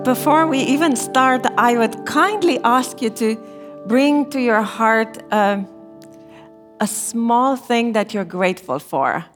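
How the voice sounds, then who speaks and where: Woman, Romanian accent, middle-aged, gentle and unhurried, slow delivery, long hall reverb. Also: fairly high pitch. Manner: gentle, unhurried, slightly non-native phrasing. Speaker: chapel speaker, therapy lecturer. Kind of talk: chapel talk. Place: chapel.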